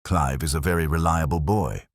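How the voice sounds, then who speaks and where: A gossipy village churchwoman, put on by a male narrator in a very low register. She is suspicious and insinuating, a storybook busybody, recorded dry and close. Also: normal speed, breathy edge, dry recording. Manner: muttering, insinuating.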